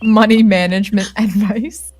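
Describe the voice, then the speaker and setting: A woman's voice: mid-range voice, fairly even intonation, moderate pace, darkish tone, soft background music. A woman co-playing a visual novel with friends, chatting casually and reading lines in a wry, teasing way. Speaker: co-player in a group playthrough. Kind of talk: casual chat and read-aloud game dialogue.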